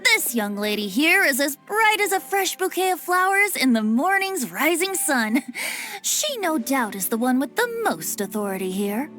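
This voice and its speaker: A voice actor playing a playful young male bard in a game, lilting, giggly and flattering. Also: very high-pitched, normal speed, exaggerated voice. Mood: cheerful. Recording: game cutscene with music behind.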